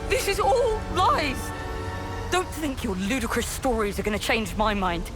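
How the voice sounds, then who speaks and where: A woman's voice: on the high side, animated, measured pace, over music. A woman playing an idealistic, devout young woman in a video game cutscene, speaking earnestly and wistfully of a promised paradise. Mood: angry denial.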